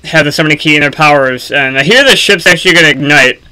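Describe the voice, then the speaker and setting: A man giving casual, unscripted gaming commentary for a video, speaking haltingly with frequent ums and pauses. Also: on the high side, background noise.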